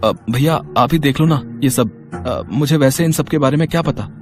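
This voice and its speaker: A man voicing a naive, humble village younger brother in a moral story, soft and deferential. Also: mid-range voice, normal speed, faint music behind, close to an everyday voice.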